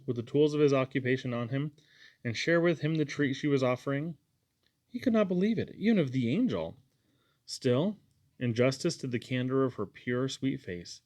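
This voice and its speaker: A man's voice: medium pitch, a little mellow, no room sound. A man reading a storybook aloud as scripted audiobook narration. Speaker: audiobook reader.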